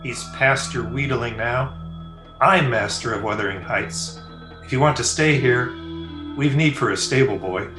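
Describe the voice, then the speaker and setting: A man's voice: mid-range voice, measured pace, music in the background, close to an everyday voice. A man playing a cruel, jealous young master in an old-time radio drama, sneering and commanding. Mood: cold contempt. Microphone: remote video call. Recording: echoey home video-call recording.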